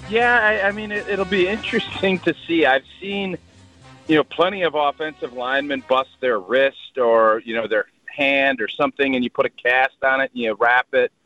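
A man giving casual football analysis on a radio morning show, animated and talkative. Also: mid-range voice, normal speed, faint music behind, low-quality recording. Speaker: former player, radio analyst. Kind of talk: casual radio sports talk.

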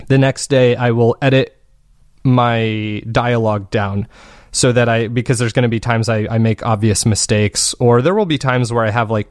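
A man's voice: mid-range voice, dry recording, studio quality. A man speaking conversationally as an interviewed podcast creator, explaining his process in a thoughtful, casually phrased way.

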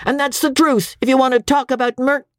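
A woman voicing an enraged middle-aged man in a squeaky falsetto. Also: steady tone, brisk, no echo, clean studio sound, close to an everyday voice. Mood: furious.

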